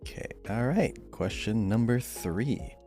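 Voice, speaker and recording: A man's voice: lowish voice, expressive, a little slow, dark tone, faint music behind. A man hosting a podcast quiz, reading out questions and chatting casually.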